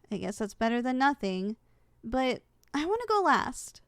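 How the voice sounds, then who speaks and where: A woman's voice: on the high side, very animated, slow pace, dry recording, clean studio sound, exaggerated voice. A young woman playing a possessive, easily flustered succubus student in an ASMR audio roleplay, blunt and indignant in manner. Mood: grudging, indignant.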